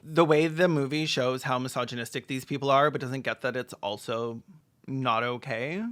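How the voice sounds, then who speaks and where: A medium-pitched commentator delivers sarcastic film commentary. The manner is campy and mocking, with dry asides played for comedy. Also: steady tone, normal speed, noisy background. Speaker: video essayist, film commentator.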